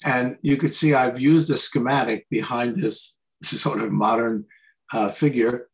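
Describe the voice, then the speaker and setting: An older man, an artist, talking informally and haltingly through slides of paintings on a video call. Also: medium pitch, fairly even intonation, low-quality recording. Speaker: artist presenting his paintings. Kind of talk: informal slide commentary on art.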